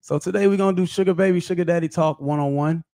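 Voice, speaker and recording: A man hosting a casual podcast, talking in a hyped, slangy way with loud greetings. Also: high-pitched, fast talker, dry recording, clean studio sound. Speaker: podcast host.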